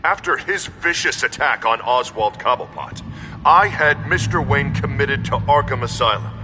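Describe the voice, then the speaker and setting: A man's voice: mid-range voice, moderate pace, background noise, close to an everyday voice. A man voicing a stern, self-righteous mayor giving a formal, resolute public address in a video game. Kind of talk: political speech in a video game.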